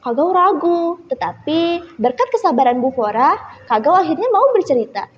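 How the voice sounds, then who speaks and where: A woman's voice: sits high, sing-song, moderate pace, a little hiss or hum. A young teenage girl, telling a scripted children's story expressively as a contestant. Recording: muffled echoey hall recording.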